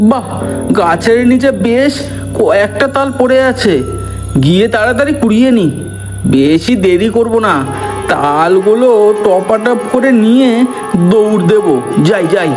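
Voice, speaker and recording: A jittery village husband, played by a man, with a very high voice, fretting and placating, coaxing himself past his fear. Faint music sits under the cartoon dialogue. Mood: nervous. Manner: nervous, placating, talks himself into courage.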